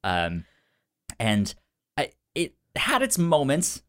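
A man of ordinary pitch talks through films as a casual co-host. He stutters often, repeating first sounds and whole syllables before the word comes out. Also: huge pitch swings, takes it slowly, fairly bright tone. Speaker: podcast co-host. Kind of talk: casual film discussion.

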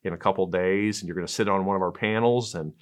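A man's voice: deep voice, quick pace. A male podcast host who reads a scripted introduction and then interviews a guest in an upbeat, encouraging way.

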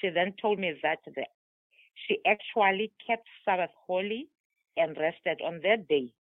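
A woman's voice: on the low side, a little slow, dry recording. South African woman on a phone line. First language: Tswana. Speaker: guest author. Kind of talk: remote interview, telling her life story. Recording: dry, tinny phone line.

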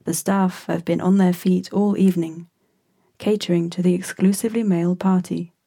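A woman narrates a radio play calmly and steadily. Her voice is lowish and mellow. The delivery is measured and clearly scripted. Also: no echo, clean studio sound. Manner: calm, measured.

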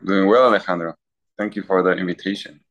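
A man's voice: low voice, steady tone, quick pace, fairly bright tone, highs cut off. A man from Peru, a university chaplain priest answering interview questions in a thoughtful, example-filled way. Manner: thoughtful, listing examples, clears throat.

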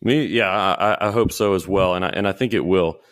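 A man's voice: low voice, a little fast, dry recording, studio quality. A man hosting a podcast interview in a conversational way, often restarting his phrases as he talks. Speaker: podcast host.

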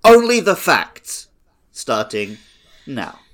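Man, game show host, casual, very animated intonation, mid pitch, mock-strict teasing. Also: takes it slowly. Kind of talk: casual comic quizmastering.

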